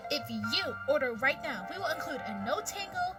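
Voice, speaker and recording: Woman as a high, peppy radio ad announcer. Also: big pitch swings, moderate pace. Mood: peppy, urging. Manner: eager, salesy. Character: peppy commercial announcer selling hair serum. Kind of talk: scripted radio ad. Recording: distant mic under loud music.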